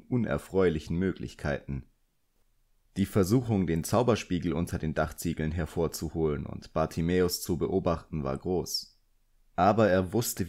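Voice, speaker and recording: A man's voice: sits low, darkish tone. A man reading literary fiction as an audiobook narrator, in scripted narration.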